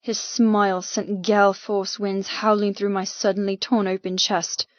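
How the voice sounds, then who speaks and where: A woman narrating dramatic first-person fiction as an audiobook, intense, expressive and deliberate. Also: mid-range voice, no room sound, highs cut off. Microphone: close mic in dry room.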